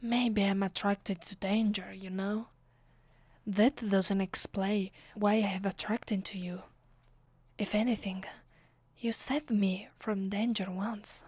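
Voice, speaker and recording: A woman with an Italian accent playing a commanding, vengeful vampire in an ASMR roleplay, her voice soft and breathy, often sinking to a whisper. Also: medium pitch, fairly even intonation, no room sound. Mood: relieved, flirtatious. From Italy. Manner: slow, deliberate, imperious. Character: commanding, vengeful female vampire, secretly affectionate. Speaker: ASMR voice actress.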